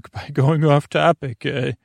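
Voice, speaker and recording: Creaky-voiced man rambling through a podcast intro. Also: fairly high pitch, steady tone, no room sound. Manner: halting, tangent-prone, many fillers and restarts; playful, muses on words. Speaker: sleep podcast host.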